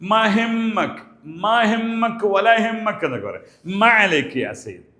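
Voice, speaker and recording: A man with a Malayali accent giving a spoken language lesson as a teacher, explaining and deliberately repeating example phrases. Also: high voice, very animated, bright, forceful tone. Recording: echoey room.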